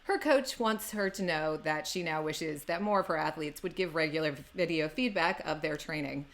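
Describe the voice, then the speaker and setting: Upbeat woman co-host, low voice. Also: moderate pace, a bit bright. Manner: upbeat, laughs often. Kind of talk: podcast interview.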